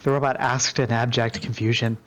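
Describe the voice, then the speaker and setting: A man's voice: medium pitch, little pitch movement, a little fast, a bit bright. A man reading scripted story narration as part of a group roleplay dramatic reading.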